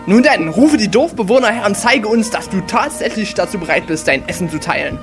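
A man with a high, very animated voice performs casually in an amateur audio play. His pitch moves freely, and music plays clearly behind him. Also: brisk. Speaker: podcast cast member in an amateur audio play. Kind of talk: lively amateur radio-play acting and casual greetings.

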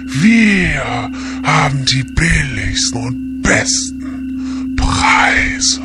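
A man's voice: a little high, expressive, very slow. A man playing a gloating, taunting villain who lures victims in an amateur Christmas audio comedy. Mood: gloating, menacing. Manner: theatrical, taunting, cackling. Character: gloating villain, evil personified game sale luring victims. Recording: loud music behind the voice.

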